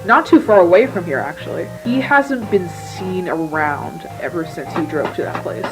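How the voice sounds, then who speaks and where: A woman's voice: on the low side, animated, moderate pace, rough recording. A woman playing a young woman who passes on eerie rumors in conversational, hesitant horror-series dialogue. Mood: hushed gossip. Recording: music playing behind the voice.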